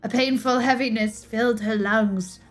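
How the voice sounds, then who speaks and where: A young woman giving a dramatic scripted narration, theatrical, with drawn-out emphasis and pauses, close on a streaming mic. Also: on the high side, fairly even intonation. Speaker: streamer reading game lore aloud. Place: small indoor room, livestream setup. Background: music.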